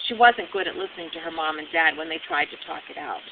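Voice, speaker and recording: A woman reading her own children's book aloud as narrator, with animated delivery and dramatic emphasis. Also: fast talker. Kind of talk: expressive storybook narration. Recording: phone-like narrow band, loud hiss and rumble.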